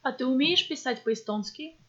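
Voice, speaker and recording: A woman reads scripted textbook dialogues for a language course. Her voice sits fairly high, and she speaks slowly and clearly. The recording sounds dull and lo-fi. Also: expressive, fairly bright tone. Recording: dull lo-fi audio.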